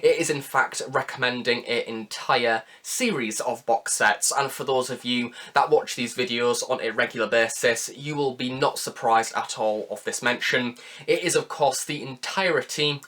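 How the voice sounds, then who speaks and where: A man presents a review as a video host. His voice sits at a middling pitch. He narrates in a conversational style, as if talking to regular viewers. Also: fairly bright tone.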